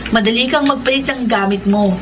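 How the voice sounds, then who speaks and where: An actress playing a doubting, teasing young friend in a Filipino radio drama, with a Manila Tagalog accent. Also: female voice, fairly high pitch, fairly quick, close to an everyday voice. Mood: skeptical, teasing. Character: skeptical, teasing young female close friend. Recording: noisy ambience behind the voice.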